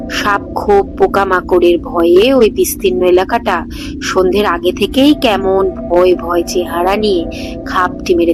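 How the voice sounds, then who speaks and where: A woman narrates a ghost story as host of her storytelling channel, reading at a steady, unhurried pace. Her voice sits at an ordinary middle pitch for a woman. Music plays beneath the narration throughout. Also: rough recording. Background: background music.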